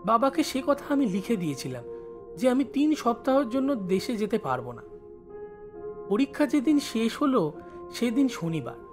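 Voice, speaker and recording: A man playing a village schoolboy who studies in the city, telling his story in expressive first-person narration. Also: very high voice, normal speed, pauses often, music in the background. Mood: suspenseful recounting. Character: village schoolboy studying in Kolkata, recalling his life.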